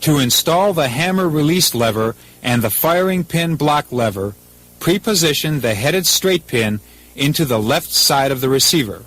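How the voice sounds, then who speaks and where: A man's voice: fairly high pitch, animated, moderate pace, fairly bright tone. Formal American man narrating a training film. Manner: formal, impersonal, methodical. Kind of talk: scripted instructional narration, 1980s training film.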